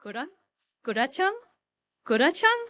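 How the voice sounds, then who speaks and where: A woman playing a polite, coaxing talent agency staffer in an animated drama. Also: high-pitched, normal speed, full character voice.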